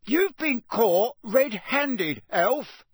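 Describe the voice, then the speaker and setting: A man's voice: sits high, big pitch swings, moderate pace, a bit bright, no room sound, clean studio sound, pitched above the performer's own voice, heavily acted. A man voicing a blustering middle-aged wizard official who barks accusations. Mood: accusing. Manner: barking, accusing.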